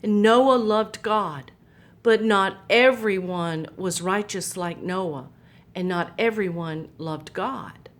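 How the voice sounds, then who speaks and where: Slow, gentle woman storyteller. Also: medium pitch. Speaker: children's story-time host. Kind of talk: reading a Bible story aloud to children.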